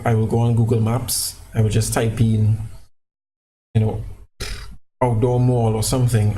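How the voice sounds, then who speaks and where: Trinidadian guy chatting over gameplay, mellow dark voice, cheap mic with a faint hiss. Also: lowish voice, vocal fry, poor audio. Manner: rambling, relaxed, many fillers and restarts. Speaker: gaming channel creator. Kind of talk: casual gameplay commentary. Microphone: cheap low-quality microphone.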